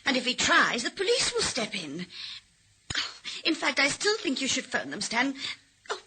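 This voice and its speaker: A worried, loyal wife in a radio play. Her voice is high and bright, swooping widely as she urges and pleads. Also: huge pitch swings, normal speed, slight background noise, heavily acted, poor audio. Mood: pleading. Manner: urgent, questioning. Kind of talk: radio drama acting.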